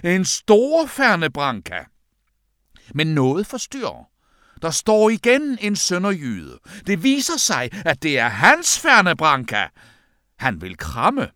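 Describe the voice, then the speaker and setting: A man narrates a spoof guided meditation in a fairly high voice. His intonation swings dramatically from low to high as he places each short sentence with theatrical deliberation. The sound is dry, with hardly any room in it. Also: sharp tone, no echo. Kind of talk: scripted parody of a guided meditation.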